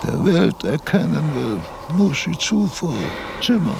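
A frail old thinker in a radio play, dragging slowly through his thoughts. The voice is fairly high for a man and gravelly, breaking into halting fragments over clearly noisy ambience. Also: big pitch swings, full character voice. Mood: solemn, searching. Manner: halting, broken phrases with long pauses. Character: frail aged philosopher, laboriously dictating his abstract thoughts. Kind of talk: slow, halting radio-drama monologue.

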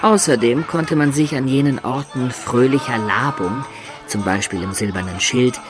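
A man's voice: mid-range voice, lightly acted. An actor playing a medieval adventurer who recounts his student youth with wry, ornate storytelling in a literary radio drama. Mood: amused, recounting. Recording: studio radio drama with music beds.

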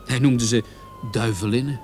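A man's voice: mid-range voice, normal speed, noisy background, close to an everyday voice. An actor playing an insistent, suspicious younger policeman who defers to his superior in a Dutch radio play.

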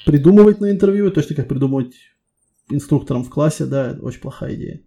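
A man's voice: on the high side, lively intonation, fast, creaky, warm tone. A man working as a technical interviewer, giving casual feedback in a reassuring, encouraging way.

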